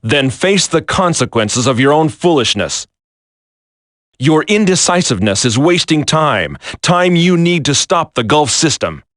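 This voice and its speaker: Slow, grave military traitor. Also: male voice, fairly high pitch, sing-song, fairly bright tone, no echo, clean studio sound. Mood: stern, challenging. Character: grave male military commander turned traitor, resigned to fate. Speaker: video game voice actor. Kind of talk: dramatic scripted video game acting.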